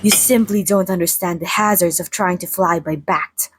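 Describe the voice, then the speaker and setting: A woman voicing a playful, theatrical century-old female vampire in a chatty, mock-formal ASMR roleplay monologue, with a soft, breathy voice. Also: lowish voice, steady tone, moderate pace, noisy background, lightly acted. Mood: gracious, chatty. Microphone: close studio microphone, dry.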